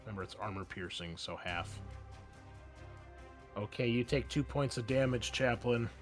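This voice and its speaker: A man running a tabletop roleplaying game as game master, narrating the action in a conversational, halting way. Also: medium pitch. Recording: faint music behind the voice. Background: faint music.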